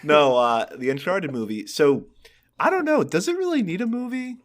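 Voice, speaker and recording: A man's voice: on the high side, very animated, moderate pace. A man co-hosting a podcast in casual chat, thinking aloud as he talks. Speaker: podcast co-host.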